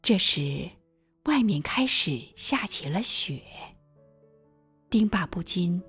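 A woman's voice: on the low side, very animated, takes it slowly, husky, exaggerated voice. A woman narrating a scripted radio drama in a storytelling style. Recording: music bed under voice. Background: background music.

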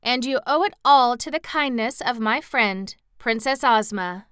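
A woman's voice: a little high, a little slow, bright, forceful tone, clear, resonant tone, no room sound, studio quality, pitched above the performer's own voice. A woman voicing an eager, earnest young girl reassuring her relatives, in a storybook reading.

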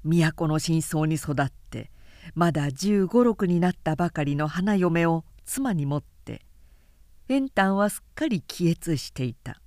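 A woman reading a historical novel as a scripted audiobook, with measured, dramatic storytelling. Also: low-pitched, lively intonation, darkish tone, dry recording, studio quality. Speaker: narrator, literary reader.

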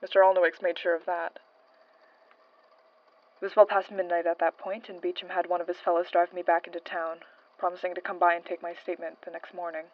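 A woman's voice: fairly low pitch, level tone, brisk, dull audio, natural voice. A woman playing a hard-boiled, world-weary female paranormal investigator in a 1920s noir audio drama, with wry, slangy delivery. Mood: grim, shaken. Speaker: investigator and narrator. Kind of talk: audio drama, noir-style recorded case statement.

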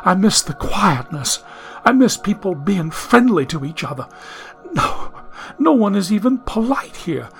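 A man's voice: sits high, sing-song, measured pace, vocal fry, faint music behind, exaggerated voice. A man reading an audiobook, voicing a genteel young woman from the 1870s who is anxious, prim and lost in the modern world. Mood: distressed. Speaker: audiobook narrator.

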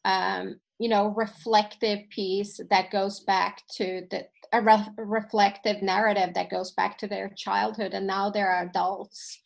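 A woman's voice: mid-range voice, little pitch movement, measured pace, creaky, few pauses. A woman from Sweden whose first language is Finnish, a podcast guest chatting and telling stories from her life with plenty of fillers. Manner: reflective, storytelling, with fillers. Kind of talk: casual chat.

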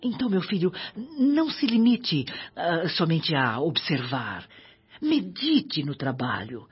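A woman's voice: low voice, sing-song, takes it slowly, slightly rough, lo-fi sound, full character voice. Motherly Brazilian older woman, music underneath. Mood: earnest advice. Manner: affectionate, earnest, counselling. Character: kindly motherly older spirit woman giving humble advice. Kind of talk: Brazilian radio drama acting.

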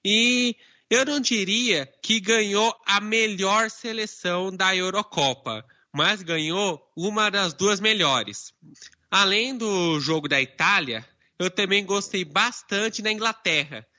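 A man with a bright, fairly high voice gives a steady, explanatory take on a casual panel and lists facts one after another. His audio is dull and lo-fi. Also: takes it slowly. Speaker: podcast panelist. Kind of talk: casual sports discussion.

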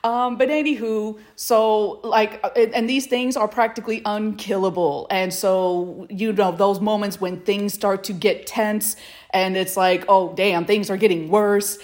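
A woman co-hosting a podcast in casual chat, telling things in a halting, rambling, playful way. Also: medium pitch, fairly even intonation, darkish tone. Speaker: podcast co-host. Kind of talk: casual chat about fan fiction recordings.

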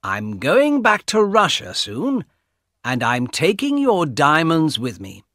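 A man voicing a greedy young man who talks in a slow, taunting way. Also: a little high, huge pitch swings, a bit bright, no echo, clean studio sound, pitched above the performer's own voice, exaggerated voice. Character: greedy, mocking young man, cold and smug.

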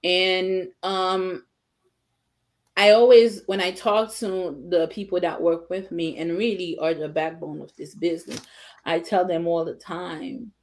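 A woman's voice: on the low side, steady tone, unhurried, darkish tone. A woman attorney and business owner talking as an interview guest, assured and emphatic, in short declarative phrases. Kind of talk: casual interview conversation.

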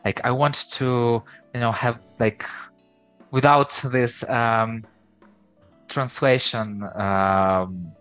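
A man with a Ukrainian accent, a guest on an interview podcast, talking in a lively, hesitant way full of fillers. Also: mid-range voice, drawn-out words, smooth, no echo, soft background music. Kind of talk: casual interview chat.

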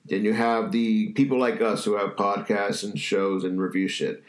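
A man's voice: fairly low pitch, flat delivery, moderate pace. Stuffy-nosed guy ranting casually on a podcast, loose and a bit profane, roomy echo. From New England. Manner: loose, profane, opinionated riffing. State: congested, stuffy nose. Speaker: podcast host. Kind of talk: casual chat. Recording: echoey room.

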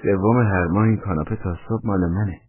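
A man voicing a mild, apologetic man who speaks politely and haltingly, on a tinny, band-limited recording. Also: sits low, steady tone, breathy edge, no echo. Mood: hesitant.

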